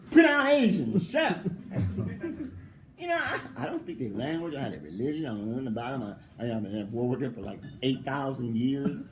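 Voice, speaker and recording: Male professor, lively swooping delivery, teasing rhetorical questions, emphatic repetition. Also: medium pitch, a little fast, background noise. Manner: animated, mocking rhetorical questions, frequent laughter; emphatic, repeats points for stress. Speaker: philosophy professor. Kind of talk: conversational interview answer.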